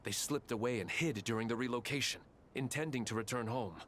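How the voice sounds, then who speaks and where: An actor playing a dutiful, respectful officer in a video game, reporting in a formal, matter-of-fact way. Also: male voice, mid-range voice, brisk, fairly bright tone, a little hiss or hum, natural voice. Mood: urgent, sober.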